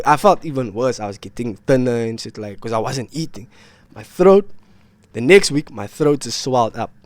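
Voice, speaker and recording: A man's voice: medium pitch, dry recording. A sick young man, telling a rambling, slang-filled story about his illness to his vlog viewers. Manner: slangy, rambling, repeats himself. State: ill and worn out. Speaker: vlogger. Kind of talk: casual storytelling to camera.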